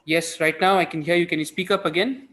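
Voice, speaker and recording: A man with a slightly high voice moderates an online event. He speaks informally as host, heard over a video call. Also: steady tone, quick pace, pushed and bright. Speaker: host of an online pitch competition.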